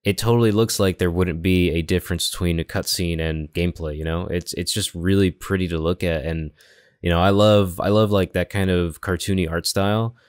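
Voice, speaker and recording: A man's voice: low voice, steady tone, a little fast, no echo, studio quality. A man co-hosting a video game podcast, talking informally and descriptively in a casual chat.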